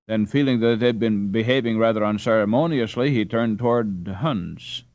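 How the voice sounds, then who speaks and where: A man reading a storybook aloud as an audiobook narrator, with expressive scripted delivery. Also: lowish voice, moderate pace, no echo. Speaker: audiobook reader.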